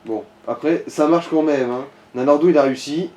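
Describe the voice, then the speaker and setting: Mellow-voiced Frenchman giving casual gaming tips. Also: fairly high pitch, brisk. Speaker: companion advising the player. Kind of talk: casual chat, backseat gaming advice.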